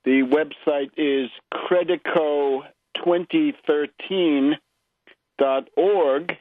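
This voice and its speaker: An American man hosting a political radio show, speaking in a measured, somewhat halting way. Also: on the high side, dry recording, narrow, tinny audio. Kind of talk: political radio interview and commentary.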